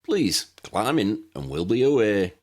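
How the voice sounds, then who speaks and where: A man's voice: on the low side, very animated, measured pace, darkish tone. A man voicing a short, tremulous old wizard pilot, fussy and obliging, in a storybook reading. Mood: obliging, chatty. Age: older. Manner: chatty, repeats himself.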